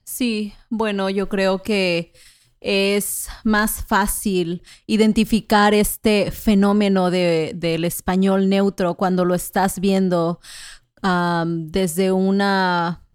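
A Mexican woman co-hosting a podcast, speaking in a measured, clear way for learners and often affirming with soft murmurs. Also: mid-range voice, little pitch movement, no echo, studio quality. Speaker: podcast co-host. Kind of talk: slow, clear conversational chat for learners.

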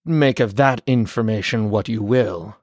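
A man's voice: on the low side, measured pace, darkish tone, no room sound, clean studio sound. A man playing a wry doctor in an audio drama, dictating case notes in a formal, sardonic, wordy way. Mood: dry, wry. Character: dry, wry doctor reporting on patients.

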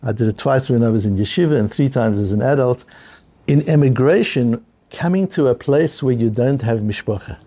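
A man's voice: mid-range voice, normal speed, dark tone. A middle-aged man teaching a Talmud class in an earnest, repeating way, with Hebrew and Yiddish terms in his speech.

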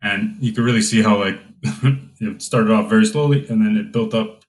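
A young man hosting a relaxed conversation, talking in a thoughtful way with plenty of fillers. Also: lowish voice, normal speed, rough recording. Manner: reflective, with frequent fillers. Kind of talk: casual conversation.

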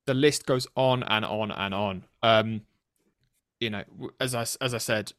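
A British man with a nasal voice, hosting a political podcast in an earnest, serious and somewhat halting way. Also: lowish voice, fairly bright tone, clear, resonant tone, no echo, studio quality. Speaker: podcast host interviewing a colleague. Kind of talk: conversational political podcast interview.

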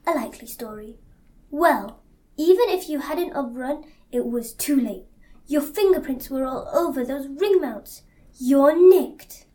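Woman acting a high-voiced, mellow police interrogator. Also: expressive, measured pace. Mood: stern, sceptical. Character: stern, sceptical police interrogator. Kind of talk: amateur audio drama acting.

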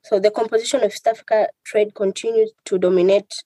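A woman from Tanzania, a lawyer guest on a podcast, explaining her country's business and employment law in a measured way. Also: medium pitch, steady tone, dark tone, no room sound, poor audio. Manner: measured, explanatory, lists items. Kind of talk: podcast interview answers.

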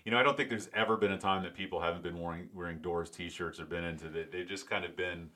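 A middle-aged man from Florida with a deep voice, hosting a casual interview and rambling through his questions with many restarts. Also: steady tone, fast, sharp tone. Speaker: podcast host.

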